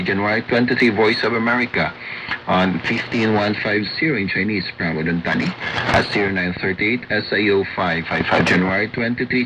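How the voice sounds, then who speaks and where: A Filipino man with a fairly low voice reads a scripted report for radio hobbyists. His delivery is methodical, moving item by item. The sound is a noisy shortwave reception with clear static around the voice. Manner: methodical, enumerating.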